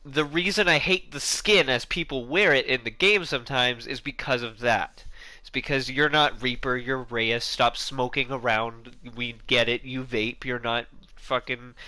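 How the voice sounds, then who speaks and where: A man talks loosely about a video game, circling back and restarting his thoughts, with casual swearing along the way. His voice is bright and clean. It sits close and dry with no room sound. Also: medium pitch, fairly even intonation, measured pace, no room sound, low-quality recording. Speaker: gaming YouTuber.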